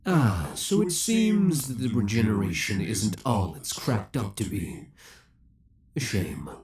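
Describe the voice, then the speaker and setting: A man's voice: lowish voice, sing-song, takes it slowly, darkish tone, full character voice. A man playing an obsessive young villain in a roleplay audio drama, theatrical and formal, taunting with cold affection. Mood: menacing, mocking. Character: obsessive young male villain, possessive, menacing, coldly affectionate.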